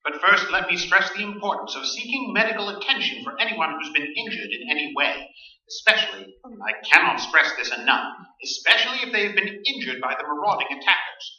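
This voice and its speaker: A man's voice: sits high, animated, a little fast, bright, forceful tone, exaggerated voice. A man playing a formal, emphatic research doctor giving warnings in a staged radio drama. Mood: earnest, warning. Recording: echoey, dull, distant stage pickup.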